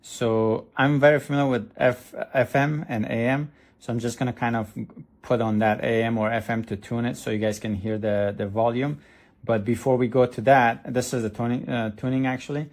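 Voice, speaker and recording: A man's voice: medium pitch, fairly even intonation, normal speed. A man reviewing a product in a casual, hands-on way, explaining step by step with some hesitation. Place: indoors at a desk.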